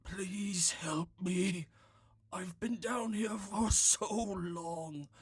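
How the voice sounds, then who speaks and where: Casting: pitiful prisoner for comedy audio drama, very slow, husky, pleading whispery delivery. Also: mid-range voice, exaggerated voice. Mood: despairing. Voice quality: breathy, whispery. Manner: weak, pleading. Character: pitiful trapped artist begging for help.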